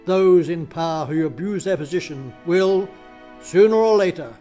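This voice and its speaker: A man playing the narrator of a political radio drama, in measured scripted delivery. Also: sits high, mellow, natural voice. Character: male narrator telling a political thriller's aftermath, measured. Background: music.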